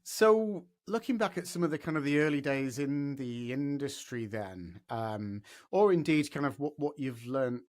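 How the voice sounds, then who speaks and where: A man hosting a podcast, asking measured questions and encouraging his guest. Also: medium pitch, animated, a little mellow. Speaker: podcast host. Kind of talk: podcast interview.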